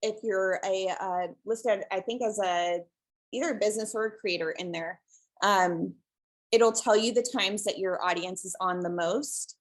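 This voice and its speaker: A woman from the presenting in a webinar over a video call, soft and relaxed, with many pauses and ums. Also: mid-range voice, rough recording. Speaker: guest presenter, shelter social media creator.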